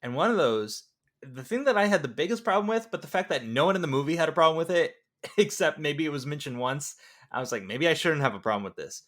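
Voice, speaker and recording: Male film podcast panelist, average register, informal and conversational delivery. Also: medium pitch. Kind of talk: casual film discussion.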